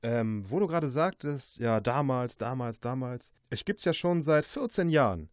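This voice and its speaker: A man's voice: medium pitch, lively intonation, normal speed, no room sound, clean studio sound. A man co-hosting a casual radio interview. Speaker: radio co-host.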